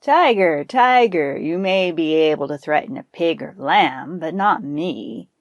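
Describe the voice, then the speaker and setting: A woman's voice: deep voice, expressive, measured pace, no echo, dull audio. A woman voicing a proud, long-suffering male buffalo in a folktale, with an emphatic, defiant delivery. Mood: confident.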